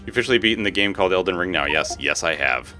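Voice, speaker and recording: Deep-voiced man chatting on a game stream. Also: animated, very fast, bright, forceful tone. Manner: informal, slangy, frequent swearing. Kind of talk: casual livestream chat while gaming. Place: home room. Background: music.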